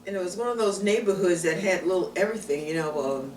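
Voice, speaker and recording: An older woman from Chicago, answering her grandson's questions in a casual family interview, unhurried and speaking in short pieces. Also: fairly low pitch, a little mellow, a little hiss or hum. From Chicago, United States. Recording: roomy, voice from far off, music behind.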